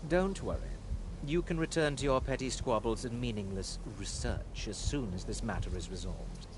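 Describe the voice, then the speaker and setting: An actor as a haughty elven official, clear background noise. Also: male voice, medium pitch, normal speed, lightly acted. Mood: condescending. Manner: condescending, formal, commanding. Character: haughty, condescending male high elf official, coldly insistent. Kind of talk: scripted fantasy game dialogue.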